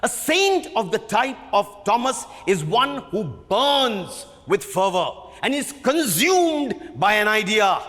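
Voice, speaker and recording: Man acting a fiery, high-pitched Indian theatre director. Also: fairly bright tone, heavily acted. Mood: impassioned. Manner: declamatory, emphatic, theatrical, with dramatic pauses. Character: fiery, imperious male theatre director haranguing his actors. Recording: reverberant hall.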